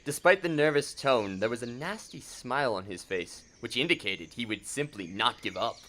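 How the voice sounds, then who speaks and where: A man's voice: medium pitch, lively intonation, moderate pace, fairly bright tone, a little hiss or hum. A man reading scripted story narration for an audio drama.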